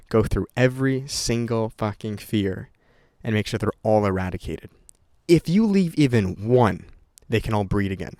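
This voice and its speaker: A man's voice: lowish voice, darkish tone, no echo, studio quality. A young man talking unscripted to his camera, rambling and swearing freely with many restarts. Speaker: content creator vlogger. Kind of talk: unscripted casual talk to camera.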